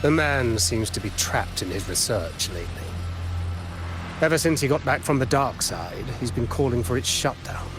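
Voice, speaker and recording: An actor voicing a blunt, resentful academy professor in a video game, grumbling candidly about the leadership. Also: male voice, medium pitch, expressive, normal speed, music in the background, natural voice. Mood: gruff, curious.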